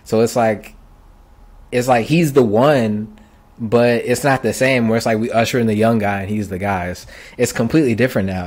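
A man's voice: on the low side, darkish tone. A man hosting a casual music podcast, talking in a loose, laid-back way with plenty of filler words. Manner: laid-back, lots of "like" and "I feel like". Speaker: podcast host.

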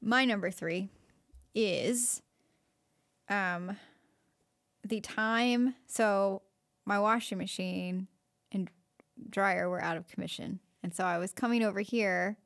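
A woman's voice: medium pitch, takes it slowly, vocal fry, long pauses, fairly bright tone. A woman in her late twenties telling a personal story in a casual couple chat, rambling with lots of fillers. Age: 20s. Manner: chatty storyteller, many fillers, laughing often.

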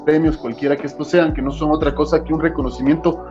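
Man, Ecuadorian accent, guest musician, casual chat, rambling and animated, mellow tone, slightly dull recording. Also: on the high side, quick pace, faint music behind. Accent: Ecuadorian Spanish. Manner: animated, rambling, fills with "o sea". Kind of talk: casual interview talk.

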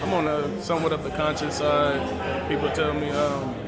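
A man's voice: a little high, moderate pace. A man being interviewed about his rap music at a busy indoor event, easygoing and laughing, with a dry throat. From Springfield, United States. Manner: relaxed, laughing, says "yeah" a lot. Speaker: rapper guest. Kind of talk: casual interview chat.

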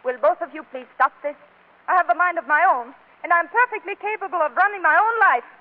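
Spirited, high-voiced wife. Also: female voice, brisk. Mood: firm, exasperated. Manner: emphatic, flustered. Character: spirited, exasperated young wife, firm and independent. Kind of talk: 1940s radio comedy acting. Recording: tinny, band-limited old radio recording.

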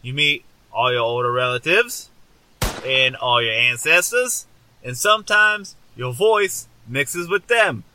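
A man's voice: mid-range voice, animated, slow, pushed and bright, slight background noise, exaggerated voice, poor audio. A man voicing a rude, hungry ghost of an Australian adventurer in a comedy skit, with an exaggerated, muddled Australian accent and cheerful matey slang. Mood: explaining. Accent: put-on muddled Australian. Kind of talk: improvised comedy character skit.

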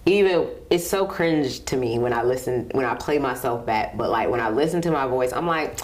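A woman with a very deep voice hosts her own podcast. She talks in a relaxed, candid way and lets her thoughts wander. Her tone stays casual and open. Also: moderate pace. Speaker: podcast host.

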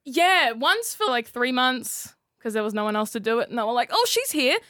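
Woman, 20s, high bright voice, upbeat, dry studio sound. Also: sing-song, normal speed, dry recording. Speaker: radio creative writer and announcer. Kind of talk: casual chat. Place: radio studio.

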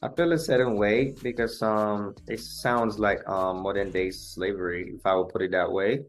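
A young man from Nigeria, a musician guest, explaining things in a measured, earnest way in an interview. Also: on the low side, level tone, faint music behind. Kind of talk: interview conversation.

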